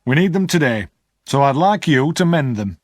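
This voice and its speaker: A man's voice: fairly high pitch, animated, moderate pace, no echo, clean studio sound, pitched above the performer's own voice, lightly acted. A man voicing a stern army sergeant with a clipped, commanding manner. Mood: businesslike.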